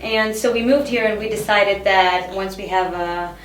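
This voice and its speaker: A woman in her thirties, from Israel, talking in a casual interview, halting, with many fillers and restarts. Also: mid-range voice, steady tone. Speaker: guest. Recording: echoey room, far from the mic.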